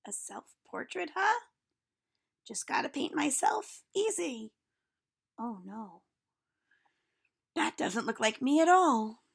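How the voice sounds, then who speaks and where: A woman's voice: high-pitched, slow pace, gravelly, pauses often, slightly rough, full character voice. A woman reading a storybook as an eager, dramatic little male mouse who is easily discouraged. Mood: confident, then dismayed. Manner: excitable, dramatic. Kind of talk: storybook read-aloud.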